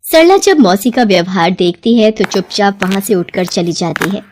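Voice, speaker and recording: A woman's voice: medium pitch, sing-song, normal speed, background noise. A woman reading a scripted story as its narrator.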